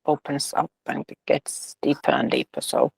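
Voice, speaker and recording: Gravelly-voiced woman, dry sound. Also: low voice, sing-song, normal speed, a little mellow, no echo. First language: Finnish. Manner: thoughtful, hesitant with fillers. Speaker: guest, releasing facilitator and author. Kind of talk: casual interview talk.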